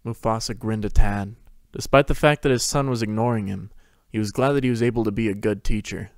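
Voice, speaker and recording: A man's voice: on the low side, brisk, dry recording, studio quality. A man reading scripted fiction as a narrator in a dramatic reading.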